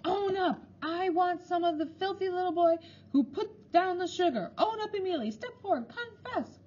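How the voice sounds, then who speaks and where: A woman voicing a stern, shrill female school matron who scolds and commands. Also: lively intonation, normal speed, darkish tone. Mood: furious. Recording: slightly dull lo-fi recording.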